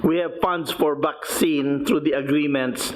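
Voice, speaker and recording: A Filipino-accented man delivers an official report in a methodical, point-by-point way. His voice sits a little high and he talks very slowly. A faint noise runs behind him. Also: fairly even intonation. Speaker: finance secretary presenting.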